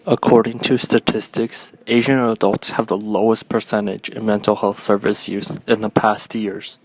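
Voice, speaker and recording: A man reading a scripted documentary narration in a measured, explanatory way. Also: fairly low pitch, flat delivery, rough recording.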